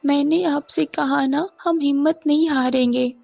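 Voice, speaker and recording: A woman's voice: sits high, steady tone, measured pace, gravelly, no room sound, lo-fi sound, soft background music, heavily acted. A woman voicing a devoted, determined poor wife and mother in a moral story, earnest and pleading. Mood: resolute, reassuring. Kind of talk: animated moral-story voice acting.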